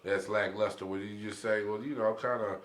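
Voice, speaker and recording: A man's voice: lowish voice, steady tone, a little fast, roomy sound. A man hosting a casual group discussion, putting questions to his guests in chatty, informal talk. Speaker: podcast host. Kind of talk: casual chat, moderating a discussion.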